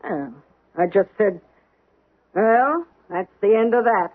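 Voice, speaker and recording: A woman's voice: medium pitch, slow, slightly rough. An actress playing a stubborn, complaining elderly mother with an aching back in a 1970s radio drama. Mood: dismissive. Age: older. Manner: grudging, complaining, flat dismissive replies. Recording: muffled, phone-like old radio broadcast.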